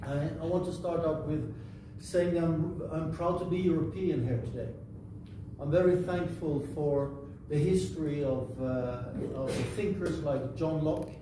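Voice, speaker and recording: A man who grew up in Bangladesh, a party leader giving a formal, earnest conference speech with measured pauses. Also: fairly high pitch. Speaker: invited speaker, political party leader. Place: large conference room. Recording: echoey room, distant mic, noisy.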